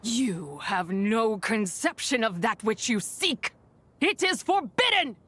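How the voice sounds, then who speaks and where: A woman's voice: a little high, sing-song, slow, fairly bright tone, full character voice. A woman voicing an ancient, menacing spirit in a video game, grave and imperious, with weary, archaic speech. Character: ancient, menacing female spirit of impure energy, imprisoned and weary.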